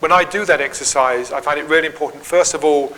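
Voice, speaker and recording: Calm man speaking in a reverberant hall. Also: a little high, fast talker. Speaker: workshop leader. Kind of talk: conference Q&A and talk. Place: conference hall. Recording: hall reverb, faint ambient noise.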